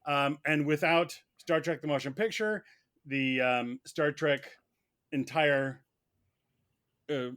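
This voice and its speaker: A man playing a mock defense lawyer, making a wordy, halting film argument in formal vocabulary. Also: a little high, fairly bright tone. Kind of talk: semi-prepared humorous film argument.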